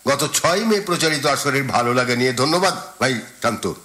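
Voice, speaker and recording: A man's voice: mid-range voice, expressive, fairly quick, sharp tone. A man with a Bangladeshi accent co-hosting a radio show, presenting and answering listeners' letters.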